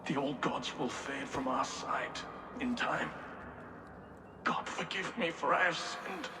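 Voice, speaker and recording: An actor playing a regretful, pious monk turned warrior, solemn and weary, in a video game cutscene. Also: male voice, mid-range voice, takes it slowly, sharp tone. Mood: remorseful. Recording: reverberant, roomy, faint ambient noise.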